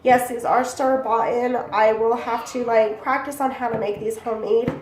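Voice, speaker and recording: Sick young woman cooking at home, stuffy nose, higher voice, echoey kitchen, camera mic a few feet off. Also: fairly even intonation, moderate pace. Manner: chatty and rambling, talks while working. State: congested with bronchitis. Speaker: cooking channel host. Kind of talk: casual cooking demonstration. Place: small home kitchen, indoors. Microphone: camera mic from a few feet away. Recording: echoey kitchen with background noise.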